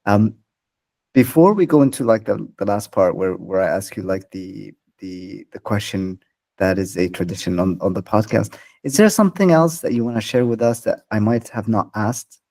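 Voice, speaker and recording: A man hosting a podcast interview, gently affirming his guest and asking measured questions. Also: lowish voice, vocal fry. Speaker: podcast host. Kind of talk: interview conversation.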